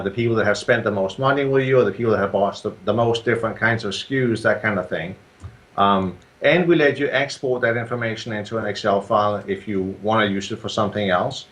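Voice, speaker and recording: A man with a Danish accent, a software creator answering interview questions in a steady, explaining way with frequent ums and restarts. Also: on the low side. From Denmark.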